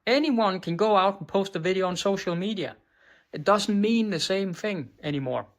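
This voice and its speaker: A man with a Danish accent coaches on business in a blunt, profane monologue, his voice pitched a little high. He tacks "right?" onto his points and trips over words, then just keeps going. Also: lively intonation, normal speed. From Denmark. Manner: stumbles and restarts words, unbothered. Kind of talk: direct-to-camera monologue.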